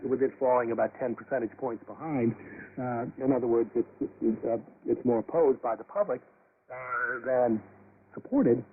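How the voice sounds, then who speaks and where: A man moderating an unscripted news panel in a conversational, halting way, heard over shortwave radio. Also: medium pitch. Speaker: host and moderator. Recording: narrow, noisy shortwave radio reception.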